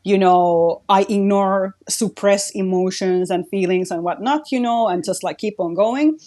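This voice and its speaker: A woman's voice: mid-range voice, a little slow, a little mellow. A woman from Finland with a Finnish accent, a guest artist talking reflectively in a casual podcast chat, with "like" in nearly every phrase. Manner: reflective, says "like" very often.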